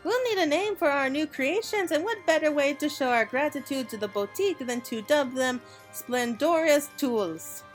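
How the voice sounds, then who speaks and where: A woman voicing a brisk, enthusiastic craftswoman from a fantasy game in a folksy manner. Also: sits high, expressive, soft background music, voice pushed up.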